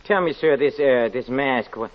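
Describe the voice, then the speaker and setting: An actor playing a nervous, scornful murderer on the run, stammering and insinuating in 1940s radio drama style. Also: male voice, medium pitch, normal speed, lightly acted. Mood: wheedling. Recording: dull lo-fi 1940s radio recording.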